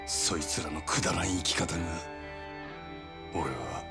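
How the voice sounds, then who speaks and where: A man playing a fierce, defiant yakuza in a Japanese video game, rough-spoken and intense, with a Kansai accent. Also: deep voice, a little fast. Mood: grave, reflective. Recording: loud music over game dialogue.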